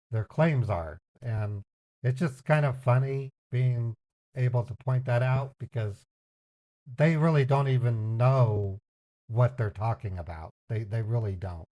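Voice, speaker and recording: A man streaming casual explanatory commentary in a dismissive, amused manner. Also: mid-range voice. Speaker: scambaiting streamer.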